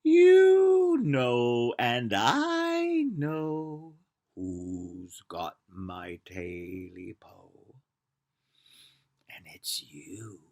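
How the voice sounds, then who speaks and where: A storyteller voicing a vengeful male rat spirit, chanting slowly and dropping into a hoarse whisper. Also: medium pitch, big pitch swings, darkish tone, voice pushed down, heavily acted. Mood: menacing. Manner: slow drawn-out chant sliding to a hoarse whisper. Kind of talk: folk tale storytelling.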